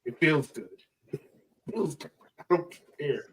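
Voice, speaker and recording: A man chatting casually as a sports fan podcast host, excited and slangy, swearing and repeating phrases for emphasis. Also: a little high, unhurried, distant mic, a little hiss or hum.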